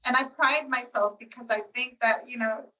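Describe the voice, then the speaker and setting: Casting note: woman in her forties from Costa Rica, fairly high and bright, chatty interview guest, set back a little from the mic. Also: fairly even intonation, normal speed, far from the mic, a little hiss or hum, low-quality recording. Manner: chatty, many "you know" fillers. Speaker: tourism marketing agency owner, guest. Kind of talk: casual interview answers.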